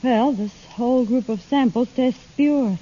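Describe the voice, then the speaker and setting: An actress playing a brisk, conscientious female lab assistant in an old-time radio drama. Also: on the high side, warm tone. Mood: dutiful. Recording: dull, faintly noisy old radio recording.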